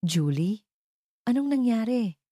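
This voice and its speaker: A woman voicing a devoted, gentle young man in an audio love drama, earnest and concerned for his best friend. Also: on the high side, big pitch swings, slow, no room sound, clean studio sound, pitched above the performer's own voice, exaggerated voice. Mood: alarmed.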